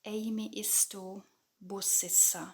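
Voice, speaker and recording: A woman teaching grammar in a calm, measured voice-over. Her pitch sits in the middle of the range. She speaks clearly and slowly repeats each example sentence. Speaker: Finnish language teacher. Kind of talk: scripted grammar lesson voice-over. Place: voice-over over lesson slides.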